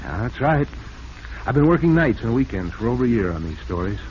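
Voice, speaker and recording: A man's voice: medium pitch, sing-song, fairly quick, darkish tone, close to an everyday voice. Guy acting nervy scheming 40s office man, polite n hesitant, muffled old radio sound. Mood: deferential. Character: scheming, nervous male publishing-house reader and failed author. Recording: muffled, noisy vintage radio recording.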